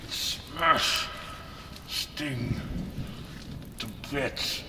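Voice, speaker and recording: A man playing a gruff, proud dwarven blacksmith in an ASMR fantasy roleplay, grumbling and scolding in short, deliberate phrases, sometimes whispering. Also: medium pitch. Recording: roomy, reverberant, distant, loud background noise.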